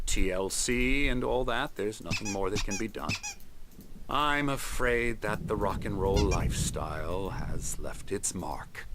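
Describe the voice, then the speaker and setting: An actor playing a smug, self-important psychiatrist in a video game cutscene, soothing and condescending in measured phrases. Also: male voice, on the low side. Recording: noisy game stream capture.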